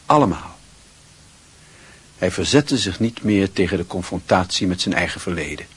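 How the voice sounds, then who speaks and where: A man narrating a radio play in a measured, literary storytelling manner. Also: deep voice, lively intonation, husky, heavily acted.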